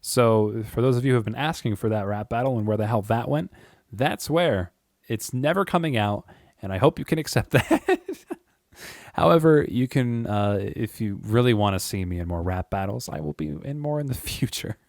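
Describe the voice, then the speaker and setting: A man with a deep voice, chatting casually and rambling to his viewers, with frequent chuckles and filler words. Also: animated, moderate pace, darkish tone, dry recording, clean studio sound. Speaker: YouTube creator. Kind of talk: casual monologue to camera.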